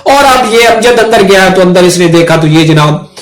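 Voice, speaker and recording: High-pitched Pakistani man explaining informally. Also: expressive, normal speed. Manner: conversational, repeatedly checking in with a filler tag. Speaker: law lecturer and video host. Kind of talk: informal explanatory lecture with worked examples. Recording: roomy echo with background music.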